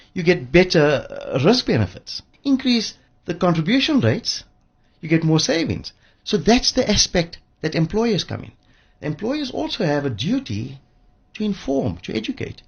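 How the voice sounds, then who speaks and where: A man speaking as an expert radio guest, emphatic and repeating phrases for effect, with a husky voice. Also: sits high, big pitch swings, normal speed. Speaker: interview guest, pensions industry expert. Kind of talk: radio interview. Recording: dull lo-fi radio audio.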